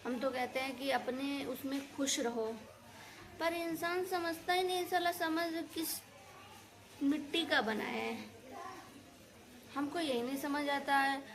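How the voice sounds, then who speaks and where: A woman's voice: high voice, slow pace, pauses often. A woman reaction vlogger speaking casually to her viewers, indignant and scolding, venting in repetitive colloquial phrases. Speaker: reaction video creator. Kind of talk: casual opinionated rant to viewers.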